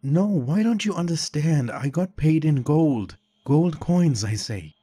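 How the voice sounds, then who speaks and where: A man voicing a terrified young male shapeshifter in a spoken story, pleading in a panicked, high-strung way. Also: lively intonation, takes it slowly, warm tone, husky, dry recording, exaggerated voice. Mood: desperate.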